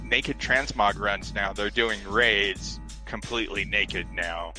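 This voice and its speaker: A man's voice: fairly low pitch, slow, bright, forceful tone, soft background music. A man guesting on a podcast, explaining things in a dry, matter-of-fact way. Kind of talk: casual chat.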